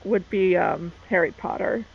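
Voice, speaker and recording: A woman's voice: on the low side, unhurried, vocal fry, dull audio, a crowd in the background. A woman with a Southern American accent who chats loosely and thinks aloud as she talks. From Southern United States. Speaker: book club co-host. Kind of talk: casual live-stream chat.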